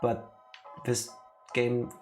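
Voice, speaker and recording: A man's voice: mid-range voice, completely flat delivery, extremely slow. A man giving casual commentary while playing a game on a live stream. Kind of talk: casual let's play commentary. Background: game music.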